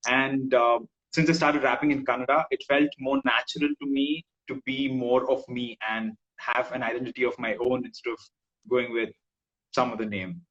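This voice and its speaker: A man from India hosting an interview, relaxed and casual, with plenty of fillers and agreeing backchannels. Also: medium pitch, moderate pace, highs cut off. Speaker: interview host.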